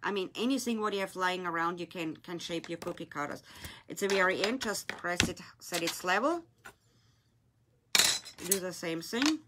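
A woman's voice: fairly low pitch, animated, normal speed, background noise. A woman with a German accent, English her second language, chatting casually to viewers as she demonstrates a craft on a live stream. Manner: chatty, loose grammar, talking to viewers while working with her hands. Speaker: craft shop owner hosting a live tutorial. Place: indoor craft room, at a glittery table. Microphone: phone or camera on a desk, filming her hands.

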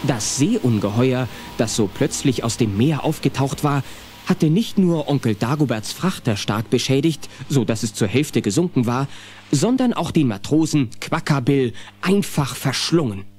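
A man's voice: medium pitch, expressive, moderate pace, darkish tone. A man narrating a scripted children's radio play as a storyteller. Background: music.

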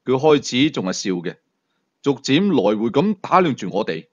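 A man's voice: medium pitch, sing-song, moderate pace, muffled recording. A man with a Hong Kong Cantonese accent narrating a thriller story dramatically as an audiobook storyteller.

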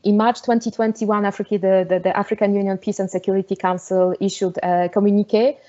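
A woman from Poland with a Polish accent, giving an online lecture over a video call, often pausing with fillers and restarts. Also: mid-range voice, level tone, mellow, dull audio. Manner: hesitant, many fillers and restarts. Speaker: webinar speaker.

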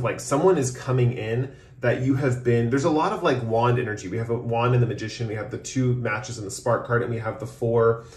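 A man's voice: mid-range voice, a little mellow. Man giving a tarot reading in a roomy space. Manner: rambling, hedging with frequent "like" and "kind of". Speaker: tarot reader, host. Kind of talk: casual, improvised tarot reading to camera. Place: indoors at a tabletop, off camera. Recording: roomy, echoing room sound.

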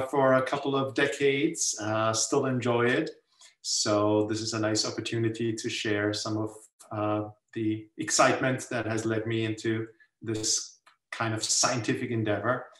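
An older man from Austria, a guest professor talking thoughtfully in a webinar with many filler pauses. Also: medium pitch, fairly even intonation, fairly bright tone, distant mic. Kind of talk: webinar talk. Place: home room on a video call. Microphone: laptop mic on video call. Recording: roomy video call audio, mic set back.

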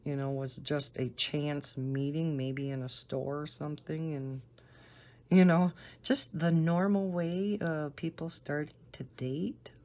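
A woman with a Northern American accent and a low, soft voice, chatting casually and unhurriedly. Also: steady tone. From northern United States. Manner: unhurried, deliberately slow talking. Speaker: coloring video host. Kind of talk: casual rambling chat while coloring.

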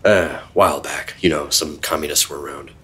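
An actor playing a secretive, world-weary 1950s government agent, terse and deadpan, narrating an old-time radio drama. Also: male voice, a bass voice, brisk, exaggerated voice. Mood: weary, reflective. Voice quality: husky. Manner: terse, deadpan, hard-boiled.

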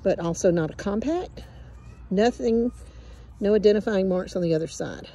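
A woman's voice: medium pitch, normal speed, warm tone. A woman with a Southern US accent chatting casually and folksily outdoors as a metal detecting vlogger. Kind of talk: casual chat. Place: outdoors on an old farm. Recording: faintly noisy outdoor camera audio.

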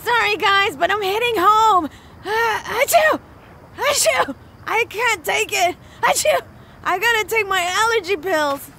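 Cartoon meek boy: slow, hesitant, whiny, very high pitch. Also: expressive, sharp tone, slight background noise, exaggerated voice. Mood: miserable. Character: meek, fretful young boy with allergies. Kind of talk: English-dubbed cartoon acting. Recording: music behind the voice.

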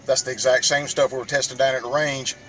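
A man's voice: mid-range voice, flat delivery, quick pace, sharp tone, faint music behind. A middle-aged man giving casual how-to vlog talk, folksy and speaking directly to viewers. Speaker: outdoors and shooting vlogger.

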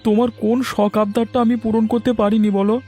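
Man acting a mellow, higher-voiced poor farmer. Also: level tone, fairly quick, soft background music, lightly acted. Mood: pleading. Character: long-suffering, poor village farmer husband. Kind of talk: Bengali fairy-tale cartoon acting.

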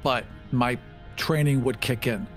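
Mid-pitched man playing a tabletop game. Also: fairly even intonation, unhurried, soft background music. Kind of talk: casual in-game narration.

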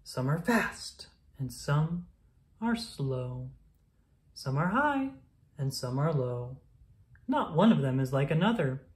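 Low-voiced woman reading with lively swings. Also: slow. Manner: singsong, expressive storytelling delivery. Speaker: children's book reader. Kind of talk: read-aloud of a rhyming picture book.

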